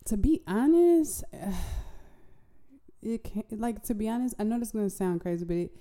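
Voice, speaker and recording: A woman's voice: medium pitch, expressive, measured pace, warm tone. A young woman, a guest actress talking candidly and openly about her start in acting. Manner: candid and laughing, with many "to be honest" asides. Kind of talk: casual interview chat.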